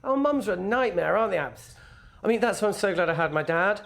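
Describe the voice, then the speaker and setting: An actor playing a defensive, sulky young son, evasive and sardonic, in a naturalistic film drama. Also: male voice, high voice, expressive, fast talker, vocal fry, a bit bright, exaggerated voice. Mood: wry. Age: young adult. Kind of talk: acted film dialogue, naturalistic.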